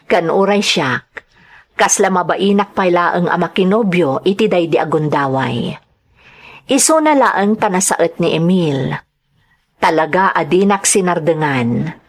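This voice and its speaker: A woman's voice: fairly low pitch, lively intonation, unhurried. A young woman playing an earnest daughter who tells her life story in an Ilocano radio-style drama, in a conversational manner. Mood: wistful, earnest.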